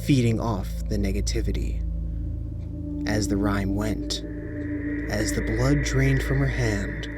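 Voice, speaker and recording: A man reads a scripted spooky story in a dramatic, deliberate storytelling manner. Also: on the low side, steady tone. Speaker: narrator of horror stories. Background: music bed under the voice.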